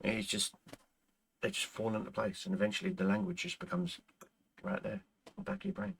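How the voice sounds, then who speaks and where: Gaming host, male, native Irish speaker, husky low voice, rambling and halting, voice wearing out. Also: big pitch swings. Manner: rambling, with frequent stumbles and restarts. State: losing his voice. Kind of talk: casual chat to viewers.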